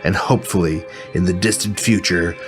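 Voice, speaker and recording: Deep, self-important crackpot weatherman played by a man. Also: brisk, faint music behind, lightly acted. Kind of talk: satirical broadcast pitch.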